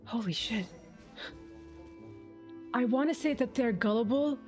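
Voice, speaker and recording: A woman's voice: fairly high pitch, measured pace, darkish tone. A woman streamer giving casual, sceptical commentary on a game, sardonic and free with swearing. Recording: game music behind the voice.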